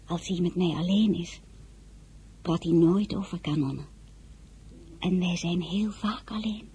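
A woman's voice: lowish voice, a little slow, mellow, husky. An actress narrating as a young woman telling her own story in a confiding, wry way. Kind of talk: radio drama narration.

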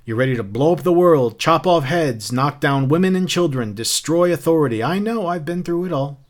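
A man's voice: fairly high pitch, animated, normal speed, pitched above the performer's own voice, natural voice. A man voicing a smug, taunting fire captain in a story, mocking and theatrical as he spouts literary quotations. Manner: theatrical, mocking, quote-laden speech.

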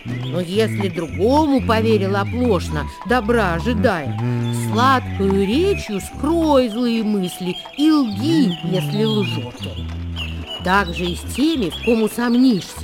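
A woman performing a measured, deliberate dramatic recitation of old proverbs as a radio actress. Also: medium pitch, very animated. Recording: music behind the voice. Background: music.

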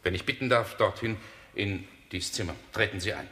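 Suspicious uptight gentleman in a radio play, fairly deep but tense sharp voice, demanding, stiffly polite. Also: normal speed. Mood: suspicious, indignant. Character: suspicious, impatient, imperious gentleman, uneasy under his politeness. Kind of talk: German radio play acting.

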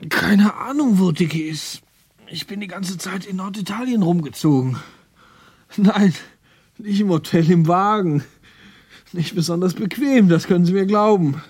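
A man's voice: mid-range voice, expressive, unhurried, mellow, husky. A young man acting in a German radio drama as a sly American con man who nervously feigns innocence, hesitating as he talks. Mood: rehearsed indignation.

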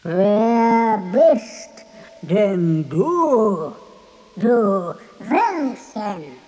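A woman's voice: mid-range voice, very animated, very slow, warm tone. A woman playing a sneering but cowardly android count in a German radio drama. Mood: contemptuous.

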